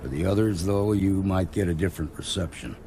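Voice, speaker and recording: An actor playing a gruff, weary frontier marshal in a video game, blunt and folksy. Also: male voice, sits low, brisk, warm tone, slight background noise, lightly acted. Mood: weary, measured.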